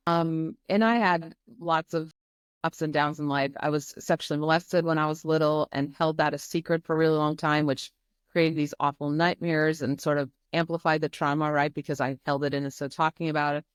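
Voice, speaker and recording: A woman's voice: low-pitched, normal speed, clear, resonant tone, no room sound. Earnest woman from Croatia, dry and close. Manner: earnest, emphatic, leans on repetition. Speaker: podcast guest. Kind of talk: candid personal storytelling.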